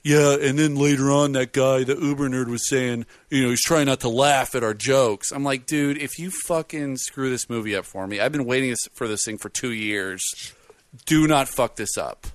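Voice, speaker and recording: Loud, rambling man with a dry sound. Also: mid-range voice, moderate pace, no room sound. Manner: rambling, animated storyteller with many fillers. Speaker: podcast co-host reviewing a film. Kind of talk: casual chat.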